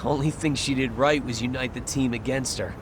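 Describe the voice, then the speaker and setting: A man's voice: medium pitch, steady tone, fairly quick, noisy background, lightly acted. An actor playing a brash, mouthy young male student underling in a video game, slangy and flippant.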